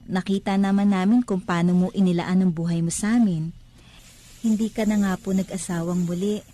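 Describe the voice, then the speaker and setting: Actress as a soft, mellow devoted Filipino daughter. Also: female voice, medium pitch, natural voice. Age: young adult. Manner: respectful, earnest. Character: devoted, pious grown daughter, grateful and respectful. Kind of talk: radio drama acting.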